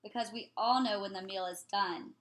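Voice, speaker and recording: A woman reading a children's picture book aloud in an expressive, playful way. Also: medium pitch, normal speed, poor audio.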